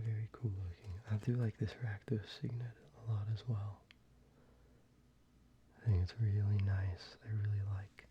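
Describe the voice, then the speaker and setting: A young man talking softly in a half whisper, casual and rambling, as an ASMR creator. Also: fairly low pitch. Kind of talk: soft-spoken ASMR show-and-tell. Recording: slightly muffled, with faint ambient noise.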